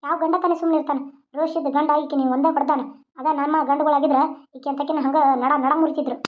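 A woman's voice: high voice, fairly even intonation, fast, narrow, tinny audio, a little hiss or hum, pitched below the performer's own voice, lightly acted. A woman voicing a blunt, boastful old grandmother who lectures in a rustic way with a North Karnataka accent. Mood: lecturing.

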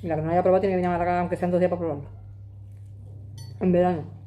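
A woman's voice: on the low side, flat delivery, racing, mellow. A woman in her forties vlogging from her home kitchen, chatting to a phone camera while she eats. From Madrid, Spain. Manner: chatty, emphatic, talks with her hands. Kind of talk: casual chat to camera while eating. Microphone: phone camera on the table.

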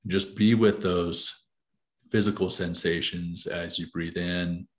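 A man leading a guided meditation, giving calm, soothing and deliberate instructions. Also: low-pitched.

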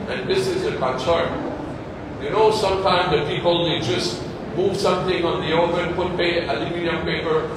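Canadian founder, halting English pitch, loud room hum. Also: male voice, distant mic. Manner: halting non-native English, plain short sentences. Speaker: company founder presenting. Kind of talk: stage product pitch. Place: large convention hall stage. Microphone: far phone camera in audience. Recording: echoey hall recorded from the audience.

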